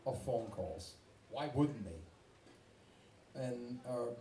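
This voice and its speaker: A man with a Danish accent, a rock singer rambling and swearing as he tells a story between songs at a live club show. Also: mid-range voice. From Denmark. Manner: rambling, swearing, self-mocking. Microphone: stage microphone through PA. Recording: roomy live club sound from far off.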